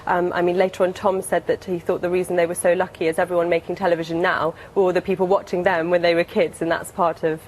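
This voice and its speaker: A woman in her twenties with a British accent gives casual interview answers. Her voice sits a little low, and she softens her statements as she talks. There is faint ambient noise behind her. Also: flat delivery, fast talker, few pauses, poor audio. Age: 20s. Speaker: interview guest.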